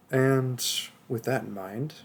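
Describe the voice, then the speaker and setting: A soft-spoken man, an audio roleplay performer, talking casually and encouragingly to his listeners. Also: medium pitch, creaky, husky. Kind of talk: casual outro addressed to listeners.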